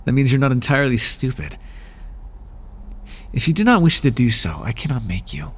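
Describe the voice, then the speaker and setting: A man's voice: mid-range voice, very animated, normal speed, breathy edge. A man playing an aloof, lonely god of the underworld in an ASMR roleplay, talking in a dry, languid and sardonic way.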